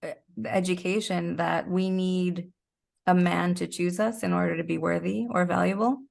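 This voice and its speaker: Female interview guest. Slightly low voice with clear fry, conversational, thoughtful delivery that feels its way through ideas. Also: steady tone, moderate pace, darkish tone. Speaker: interview guest, actor and podcaster.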